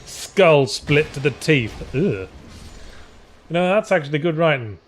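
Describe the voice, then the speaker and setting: A man's voice: fairly high pitch, animated, a little slow. A man co-hosting a mock story reading, moving between narration and wry, joking banter. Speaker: co-host reading aloud.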